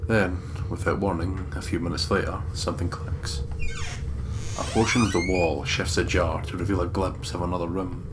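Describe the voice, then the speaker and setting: Deep-voiced man narrating, noisy background. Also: steady tone, normal speed. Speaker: let's play host. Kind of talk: reading game narration aloud dramatically.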